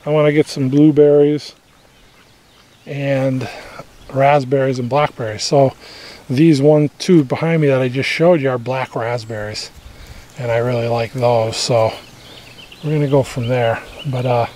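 A man's voice: medium pitch, steady tone, a little slow, long pauses, dark tone. A man giving an unscripted, plainspoken walk-through of his homestead garden, talking casually outdoors from behind a handheld camera. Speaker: homesteader hosting a garden tour vlog. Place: outdoors in a rural yard. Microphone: handheld camera's built-in microphone, speaker behind camera.

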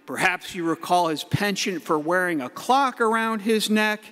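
A middle-aged man, a priest, giving a playful homily from the pulpit with the odd hype-man shout. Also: high voice, expressive, moderate pace. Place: large church. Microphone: pulpit mic. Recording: echoey church hall.

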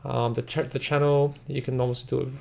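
A man hosting a casual software tutorial, explaining in a rambling, repetitive way. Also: mid-range voice, flat delivery, a little fast, vocal fry. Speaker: software reviewer, tutorial host. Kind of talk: casual screen-recording tutorial narration.

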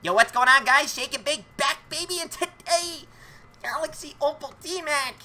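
A man voicing a hyped-up YouTuber in a loud, showy parody of a video intro. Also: very high-pitched, normal speed, pitched above the performer's own voice, exaggerated voice. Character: hyped-up male YouTuber shouting out his intro.

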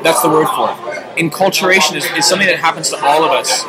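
A man's voice: a little high, quick pace, over a noisy crowd, poor audio. A young man co-hosting a casual review chat with dry, mock-serious asides, filmed up close at a restaurant table. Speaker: co-host. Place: busy restaurant bar at night. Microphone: camera held close at the table. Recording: very loud bar music behind.

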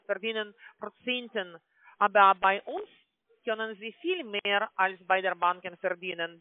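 A woman with a non-native German accent gives an explanatory phone sales pitch as a call-centre agent, often adding tag questions to confirm. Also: dry recording. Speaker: call-centre agent selling online trading. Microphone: telephone call. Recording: tinny, band-limited phone line.

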